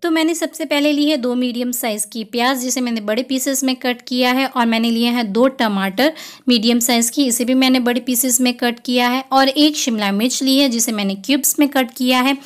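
A woman narrates as a home cook. Her voice sits a little high. She talks in a plain, instructional way, taking the listener through a recipe one step at a time. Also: steady tone, fairly quick, faint music behind.

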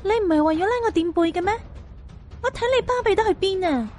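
Woman playing a very shrill-pitched, vicious Cantonese young villainess. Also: fast talker, a little mellow, faint music behind. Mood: taunting. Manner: taunting, haughty.

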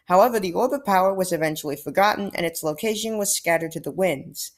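A woman's voice: fairly low pitch, fast. A woman commentating on a game she is playing, in a casual, exasperated and sarcastic way, often sighing. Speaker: let's play gamer. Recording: faint game music behind.

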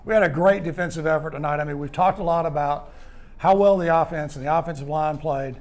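An older man interviewing high school football players in a clubhouse after a game, upbeat and full of praise. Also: fast talker, darkish tone. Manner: upbeat, praising, rambling questions. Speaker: postgame interviewer. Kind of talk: postgame sports interview.

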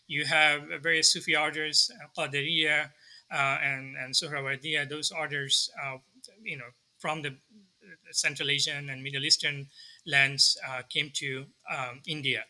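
Man in his 30s, from Bangladesh, bright voice, slightly high, hesitant explanations, webcam video call. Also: little pitch movement, a little slow, poor audio. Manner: thoughtful, many restarts and fillers. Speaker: guest scholar. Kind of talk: explanatory interview answer. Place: home study with bookshelves.

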